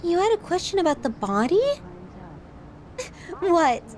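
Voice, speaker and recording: High-pitched, sweetly menacing yandere girlfriend. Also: very animated, a little mellow, slight background noise, heavily acted. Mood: smug, possessive. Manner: cooing, drawn-out, teasing, clingy delivery. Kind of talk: ASMR roleplay acting.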